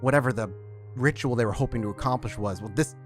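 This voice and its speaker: A man's voice: mid-range voice, quick pace, faint music behind, natural voice. A man voicing a nervous young male apprentice sorcerer who stammers and hesitates, with many fillers and restarts. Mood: uneasy, explaining. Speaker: storyteller voicing a character.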